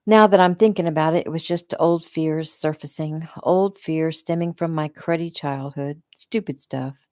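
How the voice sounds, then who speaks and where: A woman reading the part of a vulnerable but defiant young woman who speaks softly. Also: low voice, normal speed, no echo, studio quality, close to an everyday voice. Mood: hurt. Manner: soft, pleading.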